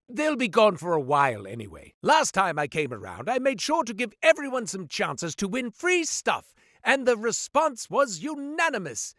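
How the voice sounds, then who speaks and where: A theatrical goat-man shopkeeper, hawking treasures with grand, swooping patter that leaps high and drops to a mutter. His voice rings bright and sharp, recorded close and dry in a studio booth with no room sound. Sly and showy, he undercuts himself with deadpan asides. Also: no echo, heavily acted. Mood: grandiose, then sarcastic. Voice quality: wildly swinging pitch, booming then muttering. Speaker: shopkeeper character. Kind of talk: video game voice lines. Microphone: dry studio mic.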